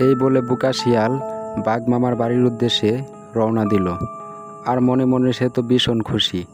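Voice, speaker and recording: A man's voice: medium pitch, flat delivery, normal speed, over music. A man narrating a scripted cartoon story as its storyteller.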